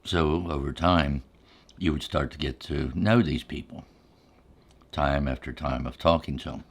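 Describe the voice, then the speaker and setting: Very deep-voiced man, unhurried ASMR storyteller. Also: breathy edge. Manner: unhurried, halting, reflective recollection. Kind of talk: ASMR casual storytelling while eating.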